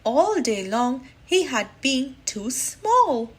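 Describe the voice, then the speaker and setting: A woman reading a children's story aloud, deliberately and expressively, with drawn-out emphasis. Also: sits high, big pitch swings, slightly rough.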